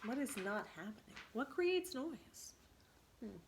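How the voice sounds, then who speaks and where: A woman teacher reading a story aloud expressively to students, pausing to ask them questions. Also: fairly high pitch, very animated, background noise.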